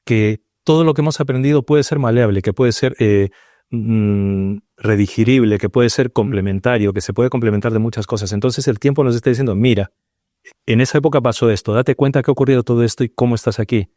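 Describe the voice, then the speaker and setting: Man from Peru, studio panel guest, mellow dark tone, dry close sound, thinks aloud and hesitates a lot. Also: mid-range voice, no echo. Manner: searching, hesitant, full of restarts and pauses. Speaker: panel guest, paranormal researcher. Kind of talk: reflective round-table discussion.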